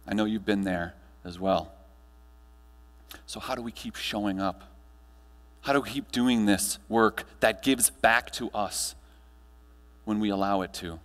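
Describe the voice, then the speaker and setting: An American man delivering a rehearsed personal-story keynote as a motivational speaker on stage, speaking in deliberate, emphatic short phrases. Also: on the low side. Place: large indoor hall, speaking on stage. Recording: echoey hall with mains hum.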